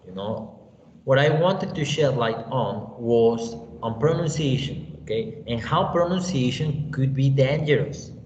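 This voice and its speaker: A man with a Mexican accent teaching an online English class, unhurried and often checking in with "you know" and "okay?". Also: medium pitch, a little mellow. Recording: echoey, roomy, dull lo-fi sound with faint hiss.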